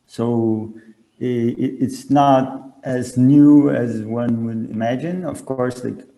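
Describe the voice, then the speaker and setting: A man's voice: medium pitch, gravelly, mellow, poor audio. A man speaking at a conference session as a researcher and co-facilitator, hesitant, with many restarts and fillers, over a video call.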